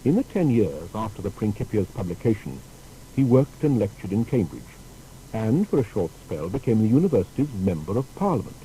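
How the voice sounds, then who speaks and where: A man's voice: on the low side, fairly quick, poor audio. A man narrating a scripted historical biography in a formal, storytelling manner. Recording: steady faint background noise.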